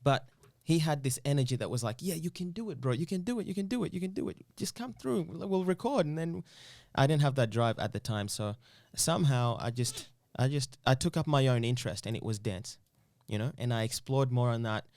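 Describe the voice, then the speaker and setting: A young man from Uganda, a dancer, speaks earnestly in a casual interview. He often restarts and repeats his phrases. His voice sits at a middle pitch, and the recording is dry and close. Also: brisk, hardly any pauses, a little mellow, no echo.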